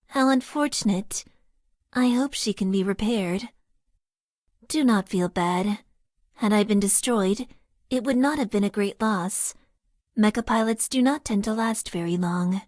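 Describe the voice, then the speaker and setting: An actress playing a stoic young female mecha pilot who holds back her emotions, speaking in a flat, deadpan way. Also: on the high side, no room sound, studio quality, natural voice. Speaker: game character. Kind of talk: dating sim voice lines.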